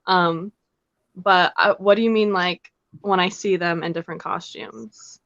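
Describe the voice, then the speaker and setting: Young woman, guest, low voice, hesitant with fillers, dull dry call audio. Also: fairly even intonation, measured pace, a bit bright, no room sound. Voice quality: deep voice. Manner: hesitant, many ums and likes. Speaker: guest, professional character performer. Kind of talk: casual interview chat.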